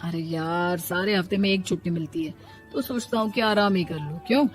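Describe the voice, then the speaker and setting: A woman's voice: on the low side, brisk, faint music behind, lightly acted. A woman voicing a weary young husband in a moral story, reluctant and placating as he makes excuses. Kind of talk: animated moral story voice acting.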